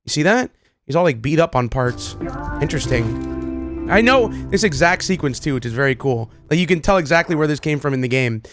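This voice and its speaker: A man hosting a reaction video, talking casually to viewers with excited, repeated exclamations. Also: fairly high pitch, brisk, music in the background. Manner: casual, repetitive exclamations, addresses viewers familiarly. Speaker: video host reacting to game clips.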